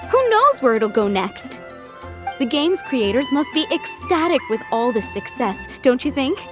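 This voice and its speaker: High-voiced polite game townswoman. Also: big pitch swings, measured pace, over music. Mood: friendly, reassuring. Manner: polite, informative. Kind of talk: video game voice acting.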